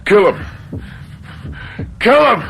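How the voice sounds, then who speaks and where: A man's voice: high-pitched, very animated, extremely slow, vocal fry, fairly bright tone, a little hiss or hum, full character voice. An actor in a radio drama playing an unhinged, guilt-ridden, obsessive man who stammers and repeats his words. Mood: frantic, raving.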